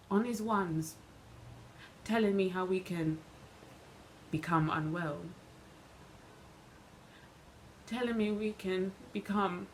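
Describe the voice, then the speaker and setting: A woman performing a dramatic monologue as a bitter, wronged young woman recounting events in a deliberate, halting way with emphatic repetition. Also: medium pitch, close to an everyday voice, rough recording. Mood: shaken, grave. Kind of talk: dramatic stage monologue, self-taped. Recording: noticeable background noise.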